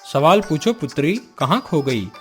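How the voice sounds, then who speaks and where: A man's voice: on the high side, sing-song, unhurried, faint music behind. A man voicing a dignified middle-aged king and father in a cartoon story, speaking in a formal, courtly way. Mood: gracious.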